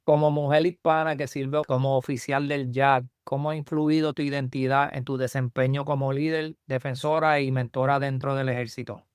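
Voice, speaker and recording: Male podcast host, friendly questions, smooth voice, dry studio sound. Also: medium pitch, level tone, normal speed, hardly any pauses, no room sound. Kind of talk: conversational interviewing.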